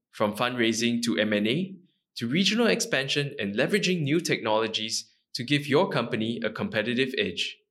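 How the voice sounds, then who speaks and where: A man's voice: medium pitch, a bit bright. A man hosting a podcast, reading a polished intro and then asking questions in a looser way. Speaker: podcast host.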